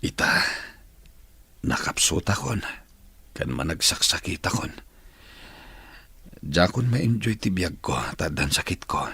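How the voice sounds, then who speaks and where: An ailing older father in a Filipino radio drama. His voice is low and badly rasped, with a tense edge. He is full of regret and longing for his family. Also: expressive, slow pace, long pauses, bright, forceful tone, dry recording, heavily acted. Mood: regretful. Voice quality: husky, rough.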